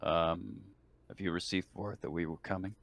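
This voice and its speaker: A man's voice: low-pitched, fairly even intonation, normal speed, creaky, a bit bright, dry recording. A man playing a tabletop roleplaying game, speaking casually and hesitantly in character.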